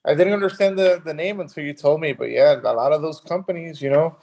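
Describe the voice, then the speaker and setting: A man from Cuba who grew up in Miami, a guest speaking casually and haltingly on a phone video call. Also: on the high side, vocal fry, a little mellow. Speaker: guest, real estate investor. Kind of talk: casual interview.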